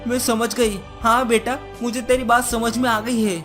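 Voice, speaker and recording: A woman's voice: fairly high pitch, little pitch movement, normal speed, fairly bright tone, pitched above the performer's own voice, natural voice. A woman voicing a menacing, scolding witch mother in a cartoon story, with music behind her. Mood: anxious, tender. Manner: gloating, scolding, threatening.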